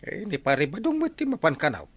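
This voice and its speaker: A man's voice: high-pitched, wildly swooping pitch, fairly quick, breathy edge, full character voice. An actor with an Ilocano accent playing a caring, chatty village man in a comedy drama.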